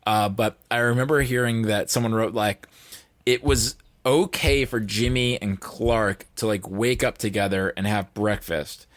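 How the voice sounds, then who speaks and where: A man's voice: on the low side, steady tone, unhurried. A man chatting casually as a podcast guest, rambling and saying "like" a lot. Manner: rambling, peppers speech with "like". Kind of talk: casual chat.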